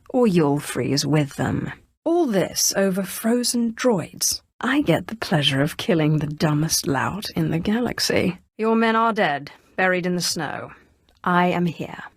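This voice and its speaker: Cold, imperious low-voiced Sith sorceress. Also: female voice, creaky, no echo, clean studio sound, heavily acted. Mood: dry, sardonic. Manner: imperious, clipped, dryly sardonic. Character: female Sith Inquisitor, cold, commanding, menacing young sorceress. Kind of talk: scripted video game dialogue.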